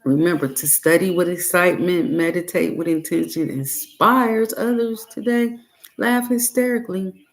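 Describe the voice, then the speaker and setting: A woman reading a guided meditation in a deliberate way, breaking her phrases with long pauses. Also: on the low side. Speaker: meditation and self-help reader.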